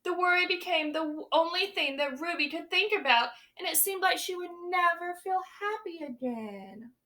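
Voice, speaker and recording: A young woman teacher reads a picture book aloud for children. Her voice sits high and sounds bright and clear. She reads with lively expression and stops to ask the listeners questions. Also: normal speed.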